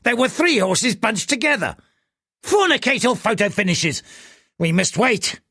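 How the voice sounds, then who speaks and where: Coarse impatient bettor voiced by a male reader, lively and cursing. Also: sits high, measured pace, husky, no room sound, clean studio sound, pitched above the performer's own voice, heavily acted.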